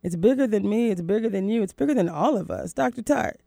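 Female talk radio host, mid pitch, gravelly fry, close dry sound, emphatic and chatty. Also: expressive, fast talker, warm tone, dry recording. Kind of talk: conversational live radio talk.

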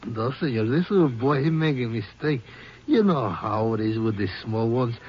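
A man's voice: mid-range voice, animated, moderate pace, husky. An actor playing a polite, evasive Mexican man in an old radio drama, with a Mexican accent and broken English sprinkled with Spanish. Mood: evasive denial. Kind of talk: 1950s radio drama acting. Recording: muffled, noisy old radio recording.

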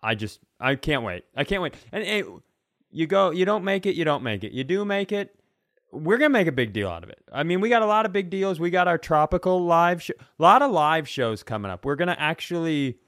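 A man's voice: on the high side, sing-song, fairly quick, fairly bright tone. A middle-aged man co-hosting a comedy podcast, bantering and telling stories casually in a mock-earnest way. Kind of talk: casual comic banter and storytelling.

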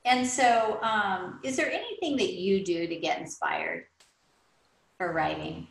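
A woman's voice: medium pitch, unhurried, vocal fry, echoey room. A woman hosting a podcast interview, affirming her guest and sharing anecdotes with enthusiasm. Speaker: podcast host.

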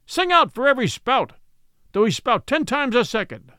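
A man voicing a grim, obsessed old sea captain who booms his orders in an old-fashioned, declamatory way. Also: big pitch swings, moderate pace, pushed and bright, no echo, studio quality, heavily acted. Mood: defiant. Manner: booming, declamatory.

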